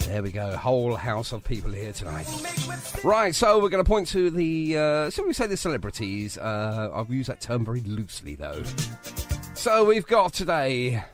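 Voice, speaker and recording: A man's voice: mid-range voice, huge pitch swings, moderate pace. A man hosting a live radio show with casual, jokey, teasing banter. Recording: music behind the voice. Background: music bed.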